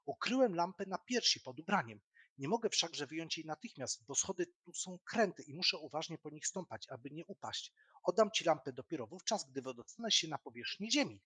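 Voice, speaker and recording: A man voicing a stubborn, defiant boy hero in a storybook audio drama, calling out loudly and emphatically. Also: fairly high pitch, big pitch swings, brisk, husky, voice pushed up, heavily acted. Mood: pleading, firm.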